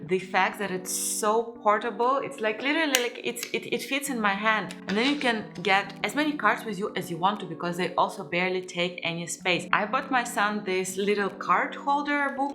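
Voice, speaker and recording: A woman giving a casual, chatty product review in a conversational vlog style. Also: mid-range voice. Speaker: parenting vlogger reviewing a kids' gadget.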